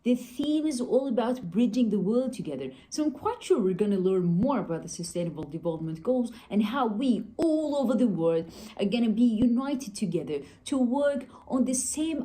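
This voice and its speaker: A woman's voice: fairly high pitch, animated, moderate pace, warm tone. A woman from Saudi Arabia giving an enthusiastic recorded video message.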